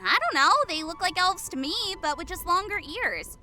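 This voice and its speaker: A woman playing a bubbly, gleefully bloodthirsty young female commando who gushes excitably in an audio story. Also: extremely high pitch, moderate pace, heavily acted. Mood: excited. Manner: gushing, excitable. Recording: music under the voice.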